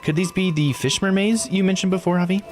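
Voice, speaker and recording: A man voicing an eager, excitable young-sounding female guide in a visual novel, informal and enthusiastic. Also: sits high, quick pace, music in the background, pitched above the performer's own voice, lightly acted. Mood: excited.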